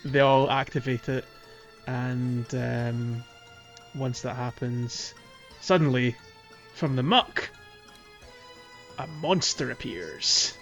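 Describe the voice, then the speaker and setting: A man with a medium-pitched voice co-hosts a casual gaming podcast. He explains game steps conversationally, with some hesitation as he goes. Faint music sits behind the talk. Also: slow, pauses often, a bit bright. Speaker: podcast co-host. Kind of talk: casual game walkthrough chat.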